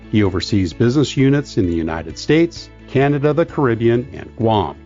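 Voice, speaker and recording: A man hosting a business podcast, reading guest introductions and asking questions with an enthusiastic, chatty manner. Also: mid-range voice. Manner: warm enthusiastic interviewer with fillers. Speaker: podcast host. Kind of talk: scripted podcast intro then interview. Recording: dull lo-fi podcast audio.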